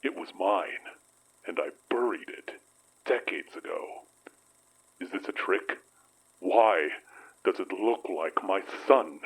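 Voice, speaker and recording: An actor playing a weary, grieving father haunted by his lost son, speaking in a halting, hushed, husky voice for an audio drama. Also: heavily acted, poor audio. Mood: bewildered grief. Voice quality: husky, breathy low voice. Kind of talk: intimate audio drama acting.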